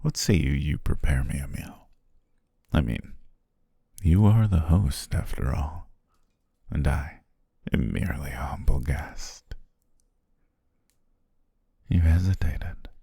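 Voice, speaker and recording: A man playing a courteous, self-amused demon king in an ASMR roleplay, speaking in a genteel, formal way with a husky, breathy voice. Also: slow pace, long pauses, no room sound, studio quality, exaggerated voice. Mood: playful, gracious. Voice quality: very deep, husky, gravelly, often breathy near whisper. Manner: leisurely, genteel, archly formal with soft chuckles.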